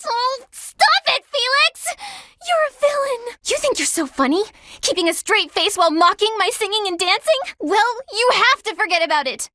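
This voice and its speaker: A clumsy, excitable young student in a game, high and bright with a pitch that leaps all over. She gets flustered fast and hums silly songs she makes up. The sound is close and dry. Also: dry recording, heavily acted. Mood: pleading, indignant. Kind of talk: video game character dialogue.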